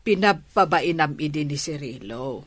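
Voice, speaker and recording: An actress playing a weary, gently chiding mother in a Filipino radio drama, with an Ilocano accent. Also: sits low, expressive, unhurried, dry recording. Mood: coaxing.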